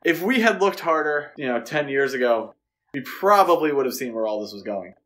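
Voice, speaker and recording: Guy on a movie podcast, mid pitched voice, just chatting and giving opinions. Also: expressive, fairly quick, a bit bright. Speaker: podcast co-host. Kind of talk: casual film discussion.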